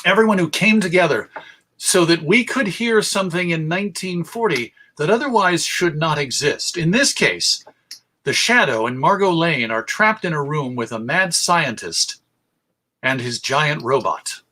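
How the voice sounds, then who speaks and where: A man's voice: a little high, lively intonation, normal speed, bright, forceful tone. A man giving a history talk as presenter, telling it like a story in an emphatic, conversational way. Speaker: presenter, radio show host. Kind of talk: illustrated history talk to an audience.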